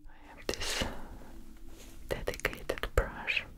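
A young woman whispering softly and breathily in an ASMR makeup roleplay, close to the microphone, in gentle, unhurried instructions. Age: young adult. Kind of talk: ASMR personal attention roleplay. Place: small indoor room, close up. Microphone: close sensitive microphone.

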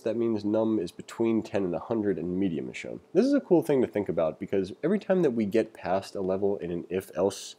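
A man with a deep voice and a soft, dark tone leads a read-along programming tutorial. He moves between reading aloud and conversational asides, freely giving his own opinions as he explains. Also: breathy edge. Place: voice-over for a screen recording.

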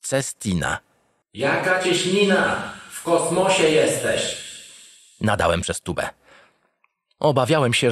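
A man's voice: lowish voice, no echo, clean studio sound. A man narrating a sci-fi comedy audio drama in a theatrical, playful way, as a cheerful, self-satisfied, dim-witted spaceship captain. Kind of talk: comedic first-person scripted narration.

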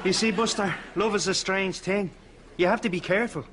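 An actor playing an earnest, lovestruck Irish husband in a sitcom. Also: male voice, high-pitched, fairly even intonation, fairly quick, a bit bright, a little hiss or hum. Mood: advising. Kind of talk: sitcom acting.

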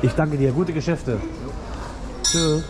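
A man's voice: fairly high pitch, takes it slowly. A man making polite, friendly, casual shopping chat at an outdoor market. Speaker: flea market shopper filming a vlog. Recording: loud outdoor street ambience.

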